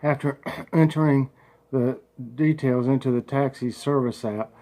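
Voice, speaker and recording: A man, a congested vlogger chatting folksily and slowly reading a devotional aloud. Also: medium pitch, a little mellow, poor audio. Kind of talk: casual home vlog with prayer and devotional reading.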